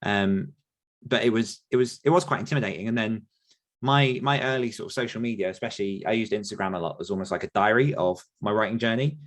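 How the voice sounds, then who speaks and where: Low-voiced man chatting casually. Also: fast. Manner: rambling with frequent restarts and self-deprecating laughs. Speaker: podcast host and author answering listener questions. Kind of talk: casual conversational chat.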